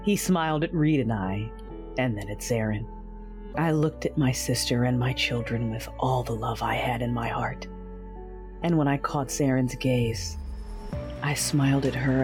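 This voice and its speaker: A woman narrating in first person as a mother at peace, speaking softly and slowly in an audio drama. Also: extremely low pitch. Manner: soft, reflective, slow narration. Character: a mother narrating her family's farewell, tender. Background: music.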